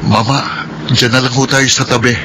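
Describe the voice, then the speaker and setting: A man's voice: medium pitch, flat delivery, normal speed, heavy background noise, natural voice. A man playing a despairing, stubborn young working student in a Filipino radio drama, with an anguished, self-pitying delivery. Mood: watchful, dismayed. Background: music.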